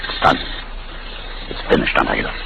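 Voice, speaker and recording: An actor playing a driven, wary older inventor with an intense, commanding manner in a classic radio drama. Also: male voice, moderate pace, close to an everyday voice. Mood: driven, urgent. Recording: very noisy old radio recording.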